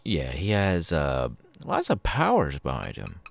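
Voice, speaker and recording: Sadistic male killer for ASMR roleplay, acted by a man: low, gravelly, taunting, with wildly swinging pitch. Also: huge pitch swings, no echo, heavily acted. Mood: chatty, condescending. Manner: slow, taunting, drawn-out with sudden swings. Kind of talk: ASMR villain roleplay, close and menacing.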